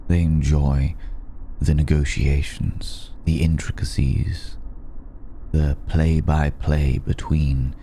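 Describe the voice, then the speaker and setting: Man playing a very deep, slow ancient vampire. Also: little pitch movement, a little hiss or hum, full character voice. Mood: measured, confiding. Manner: slow, halting, deliberate, formal phrasing with soft chuckles. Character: ancient, courteous, worldly male vampire, patient and seductive. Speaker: ASMR roleplay voice actor. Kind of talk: intimate ASMR roleplay.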